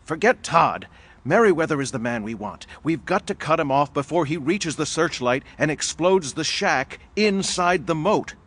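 A man playing a brave, desperate young agent shouting urgent orders in a pulp thriller audio drama. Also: a little high, lively intonation, moderate pace. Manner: urgent, commanding.

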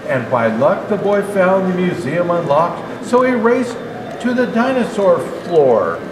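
Older man, Santa storyteller, expressive verse reading, roomy echo, clear background noise. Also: high-pitched, measured pace. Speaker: Santa Claus storybook reader. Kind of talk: children's storybook reading aloud. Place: indoor natural history museum hall. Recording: echoey hall, clearly noisy background.

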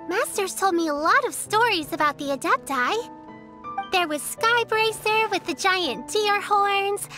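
A woman's voice: very high voice, heavily acted. An actress voicing a cheerful, eager little girl who chatters earnestly in game dialogue. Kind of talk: video game character dialogue. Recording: game audio with soft music behind.

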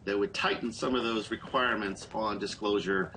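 A middle-aged man, a political reporter, explaining stories in a measured way during a live news interview over a video call. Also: fairly low pitch, vocal fry, bright, forceful tone, slight background noise, rough recording. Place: small room, indoors. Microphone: video call webcam.

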